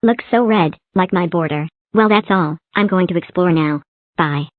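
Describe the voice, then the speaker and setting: A woman's voice: on the low side, normal speed, dry recording, lightly acted. A woman voicing a bubbly little talking number block in a fan-made cartoon, chirpy and sing-song. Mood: excited. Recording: tinny phone-like dry recording.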